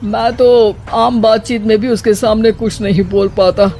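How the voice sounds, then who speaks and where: A woman's voice: a little high, fairly even intonation, normal speed, mellow, slight background noise, close to an everyday voice. A woman voicing a helpless, complaining elderly father-in-law in a cartoon moral story. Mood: exasperated. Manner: complaining, put-upon. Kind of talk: animated moral story dialogue. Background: background music.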